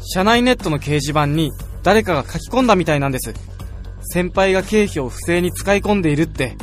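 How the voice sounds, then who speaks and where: An earnest young junior colleague acted by a man in a radio play, excitable and easily fooled. His voice is high and swings freely, with faint music underneath. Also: a little fast. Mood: urgent. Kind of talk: radio drama acting.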